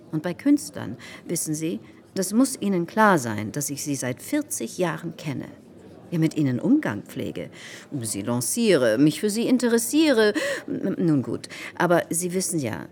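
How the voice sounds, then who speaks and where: An actress playing a gossipy, self-important salon hostess in a radio drama, confiding and insistent in a theatrical way. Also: female voice, lowish voice. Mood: indignant, self-important.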